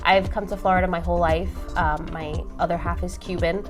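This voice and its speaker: A woman with a lowish voice chatting casually as a guest in an interview. She explains things in an informative way, dotting her talk with "um" and quick laughs. Music plays clearly underneath her. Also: fairly even intonation. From born in North Africa, raised in New York. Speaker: business owner, guest. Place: shop interior.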